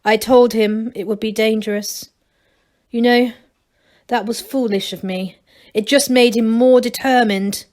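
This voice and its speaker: A woman's voice: fairly high pitch, steady tone, unhurried, close to an everyday voice. Woman playing a curt, grieving old male duke. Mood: quietly regretful. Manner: soft-spoken, terse, commanding.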